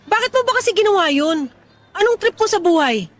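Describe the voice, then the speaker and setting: A woman's voice: very high-pitched, sing-song, brisk, slight background noise. A woman acting a brusque, irritable tomboyish young woman in a radio drama, snapping curt, scornful retorts.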